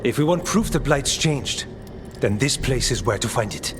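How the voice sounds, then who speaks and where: An actor playing an earnest, curious scholar-warrior in a video game, thoughtful and a little hesitant. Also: male voice, medium pitch, lively intonation, moderate pace, lightly acted. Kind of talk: dramatic video game cutscene acting. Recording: clear music behind the voice.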